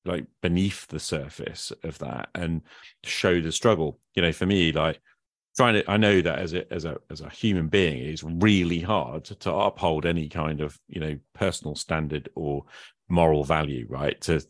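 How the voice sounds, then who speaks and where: A man's voice: a bass voice, moderate pace, no echo, clean studio sound. A man hosting a casual, reflective conversation, often ending his points with little questions to the listener. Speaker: podcast host. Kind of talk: casual conversation about roleplaying games.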